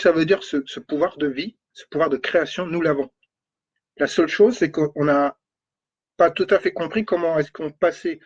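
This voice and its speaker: Male energy coach, husky and a touch high, sniffly nose, repeats points, muffled lo-fi recording. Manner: repeats words, thinks aloud, stresses points with "d'accord?". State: runny nose. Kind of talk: spoken talk on spirituality. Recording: dull lo-fi recording.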